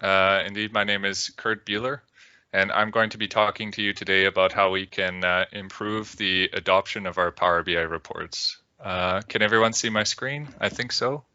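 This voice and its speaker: A man from Canada with a Canadian accent, giving a measured technical presentation on a video call with occasional "uh"s. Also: sits low, steady tone, sharp tone, smooth, no room sound, dull audio. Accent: Canadian English. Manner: measured, deliberate, with filler "uh"s. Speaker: conference speaker.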